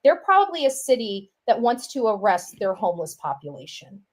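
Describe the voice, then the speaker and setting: A woman's voice: on the high side, sing-song, a little fast. A woman giving a casual, opinionated monologue, rambling along and often repeating herself with filler phrases. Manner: rambling, repeats herself, says "you know" and "right" often.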